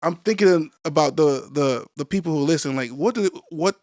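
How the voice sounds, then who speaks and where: Podcast host, man from Nigeria, curious and affirming, slightly high voice, dry sound. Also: normal speed, darkish tone, no echo. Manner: curious, encouraging, many "mm-hmm"s. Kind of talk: casual interview chat.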